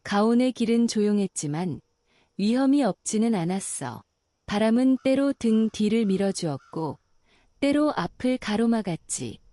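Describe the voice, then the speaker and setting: A woman's voice: mid-range voice, expressive, no room sound, studio quality. Soothing woman reading a bedtime story. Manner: slow, soothing and gentle. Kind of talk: calm bedtime story narration.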